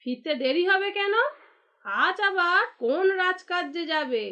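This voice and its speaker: A woman voicing a sharp-tongued, fed-up village wife in a cartoon, nagging and sarcastic. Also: very high-pitched, lively intonation, measured pace, full character voice. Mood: sarcastic, scornful. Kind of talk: cartoon dialogue acting.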